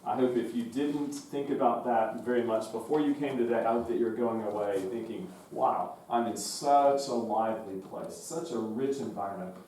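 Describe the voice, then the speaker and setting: A man's voice: medium pitch, darkish tone, heavy reverb, recorded from a distance, slight background noise, rough recording. A man moderating a forum, giving encouraging closing remarks that end in a blessing.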